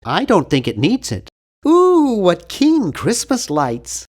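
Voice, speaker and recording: A man's voice: high-pitched, wildly swooping pitch, takes it slowly, exaggerated voice. A man playing an earnest, awkward, nerdy young cartoon hero in an adventure game, with a nasal, geeky, carefully worded delivery. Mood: matter-of-fact, then excited, surprised. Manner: geeky, over-articulate, earnest. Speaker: video game voice actor. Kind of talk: animated adventure game character lines.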